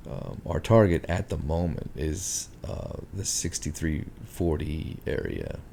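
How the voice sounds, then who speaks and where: A man walking through market charts on a screen share, thinking aloud with many ums and a hoarse voice. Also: a bass voice, measured pace, dark tone, a little hiss or hum. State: hoarse, clearing his throat. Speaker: market analyst.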